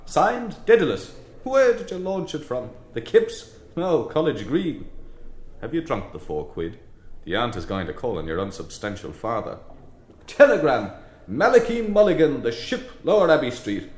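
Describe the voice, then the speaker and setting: A gleeful, theatrical young Irish wit in a literary audio drama, mocking everything in an Irish accent. His high voice swoops widely through grand exclamations. The sound is roomy and echoing. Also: animated, normal speed. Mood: gleeful mockery.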